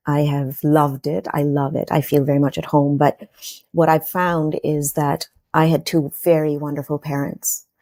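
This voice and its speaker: A woman's voice: sits low, warm tone, no room sound, studio quality. A woman in her forties from Kenya, a podcast guest telling her personal story in a reflective, earnest way with frequent fillers and restarts.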